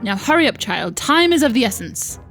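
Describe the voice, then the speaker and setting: A woman's voice: a little high, big pitch swings, moderate pace, a bit bright. A woman voicing a haughty, scornful older stepmother who gives impatient orders, reading visual novel dialogue aloud. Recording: music behind the voice.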